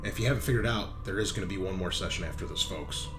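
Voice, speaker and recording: A man's voice: deep voice, fast, vocal fry, over music. A man running a tabletop roleplaying game as game master, narrating casually and bantering with plenty of swearing.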